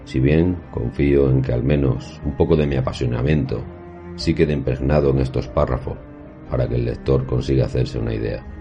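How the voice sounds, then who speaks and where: A man playing an erudite professor who recounts paranormal experiences in a horror audio drama, with formal, measured, literary diction. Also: extremely low pitch, gravelly, dull audio. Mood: grave, reflective. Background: music.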